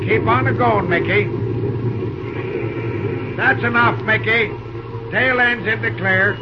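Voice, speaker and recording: An actor playing a brisk, folksy back-country railroad engineer calling out orders in an old-time radio drama. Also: male voice, sits high. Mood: excited. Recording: muffled old radio recording, loud train noise.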